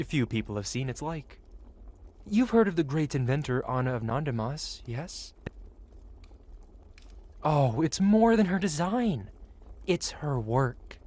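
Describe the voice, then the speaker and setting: A man's voice: mid-range voice, a little mellow. A man playing a possessive, wealthy dragon merchant who speaks in formal, flowery, courteous phrases in an intimate fantasy ASMR roleplay. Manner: formal, flowery, courteous speech addressed to one listener.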